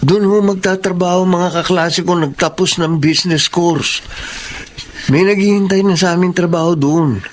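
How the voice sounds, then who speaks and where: A man's voice: sits high, steady tone, moderate pace, slight background noise, close to an everyday voice. A man playing a young farmers' son, resentful and ashamed of his parents, in a Filipino radio drama. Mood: guarded. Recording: dramatic music behind the voice.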